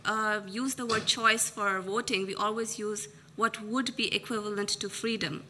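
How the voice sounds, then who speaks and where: Soft-spoken bright woman, Urdu speaker. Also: mid-range voice, steady tone, normal speed, slight background noise. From Pakistan. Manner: hesitant, many fillers, soft-spoken. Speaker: audience member asking a question.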